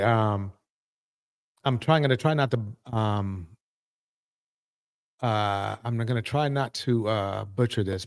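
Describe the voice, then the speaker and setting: A man hosting a live panel, moving the talk along and haltingly reading a film introduction. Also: mid-range voice, clear, resonant tone. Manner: halting, many fillers when reading. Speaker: host.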